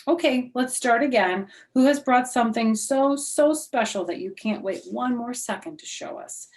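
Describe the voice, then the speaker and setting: A calm male schoolteacher from a children's storybook, acted out by a woman reader. He is patient and encouraging, explaining things with amusement. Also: on the high side, normal speed, pitched above the performer's own voice, natural voice. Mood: inviting. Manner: patient, instructive.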